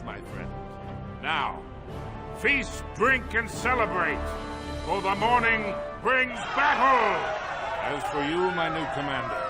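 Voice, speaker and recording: An actor playing a proud, aging dwarf king in a fantasy game, with a formal, commanding way of speaking. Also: fairly high pitch. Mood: hearty, commanding. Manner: formal, commanding, grand oratory. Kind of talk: fantasy game cutscene acting. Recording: loud background music.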